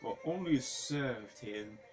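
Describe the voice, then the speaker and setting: A man reading a story aloud as narrator, halting and often repeating phrases as he goes. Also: mid-range voice, lively intonation, a little mellow, faint music behind. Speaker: reader, narrator.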